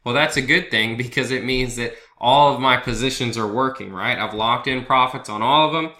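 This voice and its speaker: A man's voice: mid-range voice, moderate pace, bright, forceful tone, roomy sound. A man with a head cold, sniffling a little, talking through stock charts in a loose, conversational way as the host of his own video blog. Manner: conversational, loose, with fillers and asides like "right?". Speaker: trader hosting a market analysis video blog. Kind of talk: casual chart walkthrough over a screen recording.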